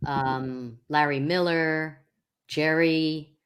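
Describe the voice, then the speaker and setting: A middle-aged woman from Long Island with a deep voice, chatting as a podcast guest and rambling with many fillers and restarts. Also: fairly even intonation, very slow, smooth. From Long Island, New York. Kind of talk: casual interview chat.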